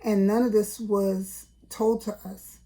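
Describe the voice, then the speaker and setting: A middle-aged woman with a raspy, slightly deepened voice, talking in a casual personal vlog, hesitant with many fillers and earnest repetitions. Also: flat delivery, slow pace, mellow. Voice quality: raspy, deeper than usual. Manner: earnest, repeats herself for emphasis. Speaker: vlogger.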